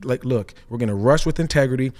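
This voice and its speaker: Fast-talking former player analyst, man. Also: mid-range voice, a little mellow. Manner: fast, explanatory, frequent "right?" tags. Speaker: former pro safety, co-host analyst. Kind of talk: casual sports chat.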